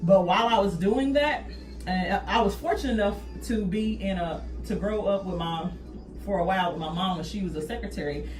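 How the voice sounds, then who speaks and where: A woman chats casually on a podcast, stressing her points by repeating them. Her voice sits at a medium pitch. She is picked up from a distance in an echoey room with faint noise behind her. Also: fairly quick, darkish tone, faint music behind. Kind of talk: casual conversational podcast talk.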